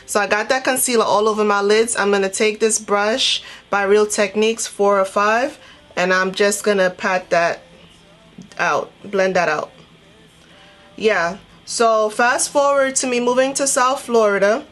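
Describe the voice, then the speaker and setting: A woman from Trinidad and Tobago chatting casually as a beauty vlogger, telling a personal story in an animated, conversational way. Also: mid-range voice, unhurried. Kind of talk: casual chatty storytelling while doing makeup.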